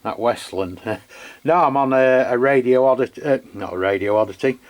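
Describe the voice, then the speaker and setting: An English man hosting a radio demo in casual unscripted chat, halting and rambling as he corrects himself. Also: mid-range voice. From England. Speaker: amateur radio channel host. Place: beside his radio set, filming the equipment.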